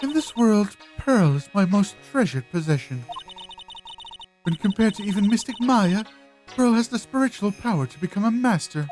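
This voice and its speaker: A woman voicing a proud, severe village matriarch in a video game, speaking in a formal, theatrical, deliberate way. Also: medium pitch, expressive, a little mellow, soft background music, natural voice, poor audio. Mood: proud, fervent. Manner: haughty, dramatic, deliberate. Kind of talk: amateur video game voice-over.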